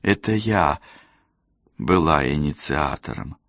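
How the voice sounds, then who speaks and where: A man's voice: very deep voice, expressive, slow, a bit bright, dry recording, studio quality, exaggerated voice. A man voicing a young female detective who pleads in a strained, hesitant, apologetic way. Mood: humbled.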